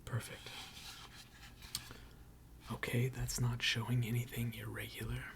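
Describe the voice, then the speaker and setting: A person whispering an ASMR repair roleplay in a breathy, gentle and reassuring way, with unhurried, hesitant pauses. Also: mid-range voice, level tone, noisy background. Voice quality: breathy, mostly unvoiced whisper. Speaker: ASMR creator role-playing a robot repair technician.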